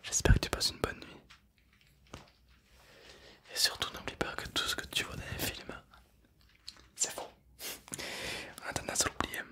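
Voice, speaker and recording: A young man plays a calm, chatty zombie in a breathy, close-miked ASMR whisper. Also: close to an everyday voice. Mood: confiding, reassuring. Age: 20s. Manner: slow, hushed, rambling, playful. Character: calm, chatty, self-deprecating male zombie, mock-menacing. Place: indoor studio setup. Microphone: close stereo microphones either side of the head.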